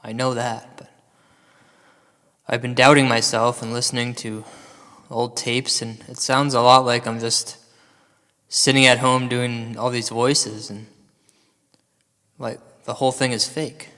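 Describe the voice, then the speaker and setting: A man's voice: mid-range voice, level tone, unhurried, pauses often, pushed and bright, long reverb tail. A man playing an earnest, self-doubting paranormal investigator in a comedy radio drama, speaking in a hesitant ramble. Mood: anxious, earnest.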